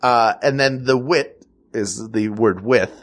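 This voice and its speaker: A man's voice: medium pitch, slow. A man with a nasal Philadelphia accent, co-hosting a comedy podcast in playful casual banter. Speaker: podcast co-host.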